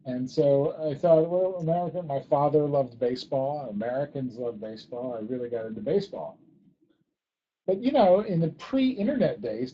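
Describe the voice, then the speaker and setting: A man with a soft-edged, mellow and husky voice at a middle pitch explains his ideas as an interview guest. He talks conversationally and leans on repetition for emphasis. The recording is slightly dull. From born in Ghana, grew up in US embassies in Southeast and East Asia.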